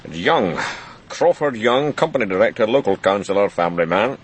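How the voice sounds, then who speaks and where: Deepish, brisk police chief, played by a man. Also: expressive, a little hiss or hum, close to an everyday voice. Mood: approving, brisk. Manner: crisp, reading out facts, approving. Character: senior male police boss, brisk and measured. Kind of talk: naturalistic radio drama acting.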